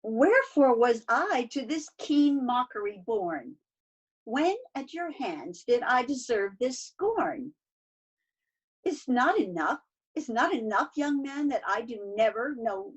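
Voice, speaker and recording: A woman's voice: on the high side, breathy edge, dull audio, full character voice. A woman playing a desperate, self-pitying young Athenian woman in a stage verse read-through over a video call, plaintive and theatrical.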